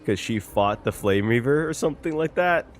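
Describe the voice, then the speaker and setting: A man doing casual, expressive game commentary as a reaction streamer, swearing casually and exclaiming in dismay. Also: mid-range voice, normal speed, a little hiss or hum. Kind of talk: casual game commentary, reading story text aloud. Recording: game music behind the voice.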